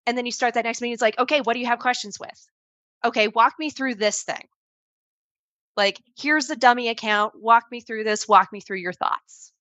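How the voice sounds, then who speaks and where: A woman's voice: on the high side, moderate pace, fairly bright tone, dry recording. A woman on a video call giving conversational advice on training assistants, often acting out quoted examples. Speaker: panel guest advising on assistant training.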